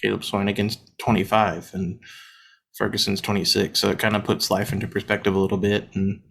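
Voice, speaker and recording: Casting note: man, thirties, sports podcast co-host, slightly low voice, under the weather, easygoing chat full of "uh"s. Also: fairly quick, vocal fry, husky. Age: 30s. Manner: relaxed, frequent "uh" and "um" fillers. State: under the weather, voice deeper than usual.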